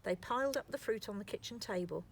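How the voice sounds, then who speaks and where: A woman storyteller narrating a scripted children's story in an expressive, deliberate way. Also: medium pitch, creaky, slight background noise.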